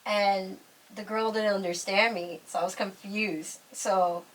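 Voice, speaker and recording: A woman's voice: mid-range voice, fairly even intonation, unhurried. A young woman with a New York accent hosts a casual chat with a makeup artist over a card game, full of fillers and laughter. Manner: chatty, laughs often, fillers like "like". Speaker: host interviewing a makeup artist. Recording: distant mic with clear background noise.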